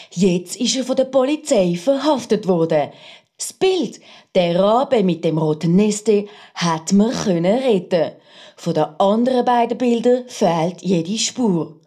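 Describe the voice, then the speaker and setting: A woman's voice: mid-range voice, warm tone. A conceited crime reporter from a radio play, smug and taunting. Her Swiss-accented delivery is self-important and savours its own triumph. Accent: Swiss German. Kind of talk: German audio drama, radio reporting.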